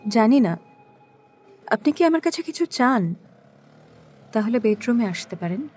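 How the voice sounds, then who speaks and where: A woman's voice: a little high, sing-song, measured pace, darkish tone, slightly rough, full character voice. A woman playing a cool, guarded suspect in an audio drama, curt, evasive and provocative. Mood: cool, defiant. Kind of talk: Bengali audio drama acting.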